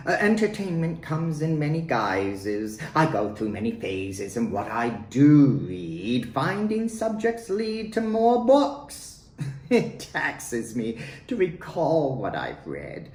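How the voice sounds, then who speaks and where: A man reading his own poetry in a dramatic, deliberate way, pausing between phrases. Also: on the high side, huge pitch swings, darkish tone. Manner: theatrical, deliberate phrasing with pauses. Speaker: poet reading own work. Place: at home, roomy indoor space. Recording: roomy echo, faint background noise.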